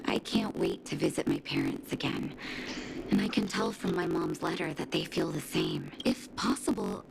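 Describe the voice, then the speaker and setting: An actress playing a kind, caring adult doctor in a game cutscene, speaking gently and earnestly. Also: female voice, rumbling low, huge pitch swings, normal speed, gravelly, exaggerated voice, poor audio. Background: game music.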